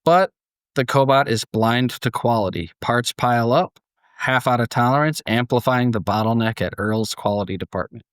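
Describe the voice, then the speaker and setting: A man's voice: mid-range voice, moderate pace, a bit bright, clean tone, no echo. Man podcast host, dry close sound. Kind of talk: casual chat mixed with scripted story narration.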